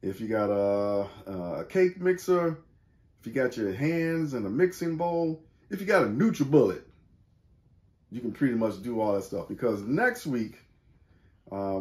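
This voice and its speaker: A man's voice: fairly low pitch, animated, a little slow, a little mellow. A middle-aged man hosting a church cooking segment, chatting in a folksy, joking way with casual slang. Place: living room at home, indoors. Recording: roomy echo.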